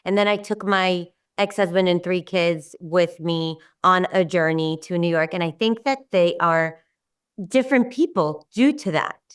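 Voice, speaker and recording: A middle-aged Israeli woman whose first language is Hebrew, talking as an interview guest in a warm, rambling way with many restarts. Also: lowish voice, unhurried, smooth, recorded from a distance. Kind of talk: casual interview talk.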